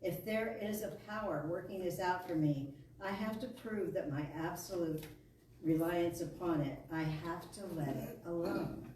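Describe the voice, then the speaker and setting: A woman's voice: on the low side, unhurried, a little mellow. A woman reading a spiritual talk aloud to a congregation in a meeting hall. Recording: echoey hall, distant mic, faint noise.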